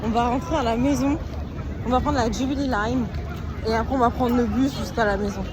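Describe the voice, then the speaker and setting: A woman's voice: a little high, steady tone, a little fast, background noise. A young woman chatting casually to her viewers as she vlogs through city streets and shops, slangy and talkative. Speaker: vlogger host. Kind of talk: casual travel vlog narration. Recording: loud street crowd and music behind.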